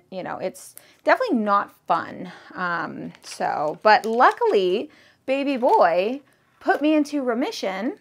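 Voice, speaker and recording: A thirty-year-old woman vlogging about her candle business in a relaxed, rambling chat, full of fillers and "like"s while she works with her hands. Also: lowish voice. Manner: chatty, rambling with fillers and likes. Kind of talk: casual chat to camera.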